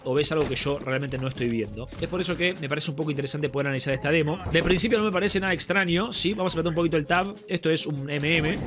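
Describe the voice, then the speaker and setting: A young man with a Rioplatense Spanish accent, congested from a cold, chatting casually with viewers while commenting on a game replay. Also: on the high side. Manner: chatty, addresses viewers as friends. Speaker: gaming streamer. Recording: game music and gunfire behind.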